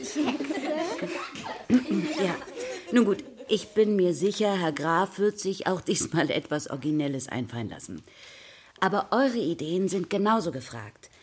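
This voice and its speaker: A woman's voice: on the low side, expressive, measured pace, pauses often. A woman playing a patient, encouraging schoolteacher addressing a class in a naturalistic radio play. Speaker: primary school teacher.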